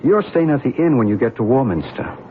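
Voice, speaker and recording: A man's voice: mid-range voice, sing-song, close to an everyday voice. An actor as enigmatic American man, tinny old radio. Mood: assured, cryptic. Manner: measured, knowing, cryptic. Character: calm, enigmatic American man, a knowing UFO-watcher in radio. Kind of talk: old-time radio drama acting and narration. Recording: noisy, tinny band-limited old radio recording.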